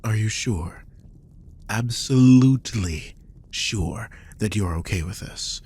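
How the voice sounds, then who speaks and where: A man playing a guilt-ridden, tender supernatural protector in an intimate audio drama, speaking gently and hesitantly with a husky, breathy voice that slips toward whispers. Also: on the low side, slow pace, full character voice. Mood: tender concern. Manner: gentle, hesitant, sighing delivery.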